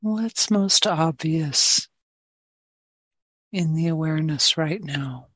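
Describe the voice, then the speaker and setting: A woman teaching meditation, gently guiding listeners with long, unhurried pauses. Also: sits low, no echo. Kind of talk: guided meditation.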